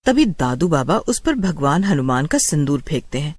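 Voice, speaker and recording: A woman's voice: deep voice, sing-song, moderate pace, darkish tone. A woman narrating a scripted horror story in a dramatic storytelling style.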